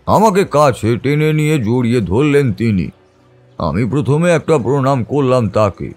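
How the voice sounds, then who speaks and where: A man reads a scripted audio story at a slow pace in a mid-pitched voice. His delivery is measured and dramatic, in a literary first-person style, with music underneath. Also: big pitch swings, a little mellow. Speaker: story narrator. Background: background music.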